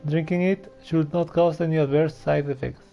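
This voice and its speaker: A man giving casual, halting playthrough commentary as he reacts to a game. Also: on the high side, fairly even intonation, vocal fry, mellow. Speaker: game streamer. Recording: dull mic, faint game music behind. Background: faint game music.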